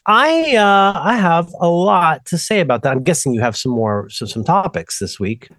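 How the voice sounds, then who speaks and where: A man's voice: fairly high pitch, moderate pace, no room sound, clean studio sound. A middle-aged man co-hosting a podcast, chatting casually and telling stories in a rambling way that keeps stopping and restarting itself. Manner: rambling, self-interrupting, animated storytelling. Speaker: podcast co-host. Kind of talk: casual chat.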